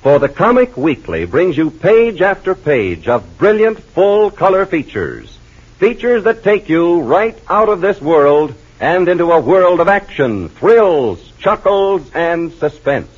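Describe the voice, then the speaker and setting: A muffled vintage radio recording presents a male announcer. His fairly high, slightly rough voice swings widely in pitch as he delivers scripted copy with emphatic salesmanship. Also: very animated, a little slow.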